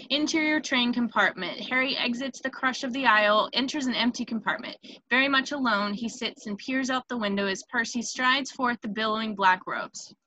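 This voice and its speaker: A woman's voice: a little high, bright, forceful tone, poor audio. A woman reading scripted stage directions as the narrator of a screenplay table read. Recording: noticeable background noise on home mic.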